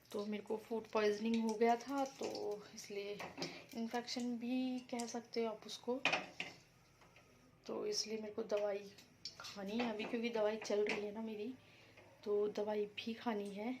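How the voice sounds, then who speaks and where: A woman vlogging about her day in a casual, rambling way, a little hoarse from being unwell. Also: on the high side, background noise. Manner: chatty, rambling, explains herself to viewers. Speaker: vlogger housewife. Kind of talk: casual daily vlog chat.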